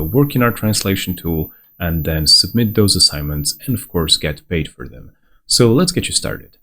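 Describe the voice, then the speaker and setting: Lively low-voiced man guiding a walkthrough. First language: Slovenian. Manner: conversational step-by-step guiding, reassuring. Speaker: onboarding guide presenter. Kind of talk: screen-recorded software walkthrough.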